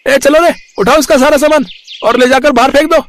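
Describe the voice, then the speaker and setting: A man voicing a boastful, scheming male panipuri seller in an animated village story, pushy and loud. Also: very high-pitched, a little fast. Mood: angry. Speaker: cartoon voice actor.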